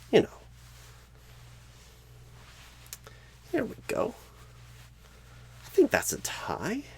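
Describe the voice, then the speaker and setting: A man voicing a clueless, flustered job recruiter in an ASMR comedy roleplay, stammering and pausing oddly in a husky, creaky voice. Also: a little high, heavily acted. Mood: flustered, eager. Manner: halting, stammering, odd pauses and sudden swoops. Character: bumbling, clueless, flustered male job recruiter, celebrity impression.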